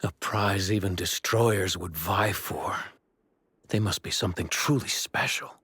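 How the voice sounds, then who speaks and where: A man in an anime dub voicing a brooding, calculating divine villain in a measured, formal, ominous manner, with effects noise under the voice. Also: sits low, fairly even intonation, a bit bright, slightly rough, no room sound, full character voice. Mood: intrigued. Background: ambient sound effects.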